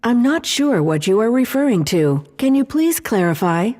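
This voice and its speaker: A woman playing a calm, precise ship computer in an audio drama, explaining things in a measured, formal manner. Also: on the high side. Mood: calm, helpful. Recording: long reverberant hall-like echo.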